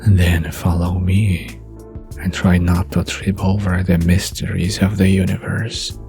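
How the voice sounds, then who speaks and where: A narrator acting a smirking young man in a romantic audio drama, playful and conspiratorial. His smooth delivery is low-pitched and slow, with a gravelly creak. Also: little pitch movement, music in the background.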